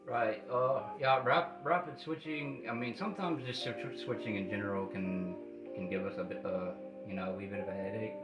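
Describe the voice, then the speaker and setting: Halting man with slight Irish lilt. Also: fairly low pitch, steady tone. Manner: halting, many restarts, profane. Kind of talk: casual livestream chat. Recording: roomy echo with guitar music behind. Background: guitar music.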